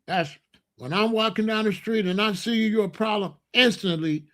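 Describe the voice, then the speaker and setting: Emphatic older man, high voice. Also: moderate pace, a bit bright. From Chicago, USA. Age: 60s. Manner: emphatic, repetitive, blunt street talk. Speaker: commentator. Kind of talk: opinionated monologue.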